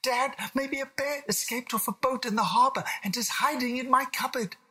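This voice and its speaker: A storybook narrator voicing a timid, worried young boy with a hushed, breathy, pleading delivery. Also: high voice, moderate pace, voice pushed up, exaggerated voice. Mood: nervous. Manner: worried, wide-eyed, pleading. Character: anxious, timid young boy afraid of lions.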